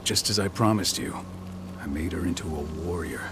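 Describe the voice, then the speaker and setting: An actor playing a stern, proud veteran werewolf pack leader in a video game, speaking in a measured, firm manner. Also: male voice, deep voice, vocal fry, background noise. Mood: proud, reassuring. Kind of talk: video game cutscene acting.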